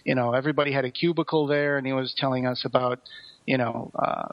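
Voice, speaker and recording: A man's voice: mid-range voice, fairly even intonation, fast. A man co-hosting a podcast in casual, enthusiastic chat, telling things in an anecdotal way. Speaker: podcast co-host. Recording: dull, roomy recording.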